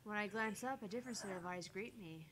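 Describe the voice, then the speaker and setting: A woman streamer reading a visual novel aloud as theatrical first-person narration, with deliberate phrasing. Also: medium pitch, low-quality recording. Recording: loud background noise, electrical hum, clicks.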